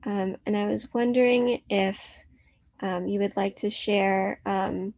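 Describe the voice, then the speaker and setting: Woman, curator, creaky, monotone, polite, filler-heavy, dry home recording. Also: medium pitch, slow, no echo. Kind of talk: hosting a virtual artist talk. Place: her apartment.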